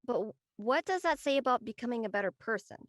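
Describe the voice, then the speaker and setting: A woman's voice: a little high, animated, fast talker, lightly acted. A woman playing a curious teenage friend, casual and questioning, in a drama read.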